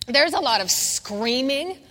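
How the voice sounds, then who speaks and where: A woman's voice: high-pitched, expressive, slow pace, bright, forceful tone. A woman preaching a sermon, calling out for amens and repeating phrases with rising fervor. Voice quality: singer. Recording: long hall reverb.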